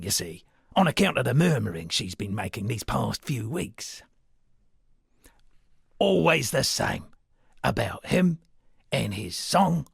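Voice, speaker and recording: An actor voicing an eccentric washed-up sea captain and would-be poet in a video game, gravelly and husky, with theatrical, rambling, florid delivery. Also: male voice, medium pitch, a bit bright, dry recording, clean studio sound, full character voice. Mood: wry, musing, then excited, incredulous. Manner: theatrical, rambling, florid old seafaring turns of phrase. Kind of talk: video game character dialogue.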